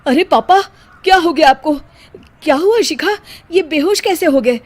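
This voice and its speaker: Woman playing an amiable, animated young man. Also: sits high, normal speed, pitched above the performer's own voice. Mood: alarmed. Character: amiable young man, brother-in-law, easily startled. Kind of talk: Hindi cartoon storybook acting.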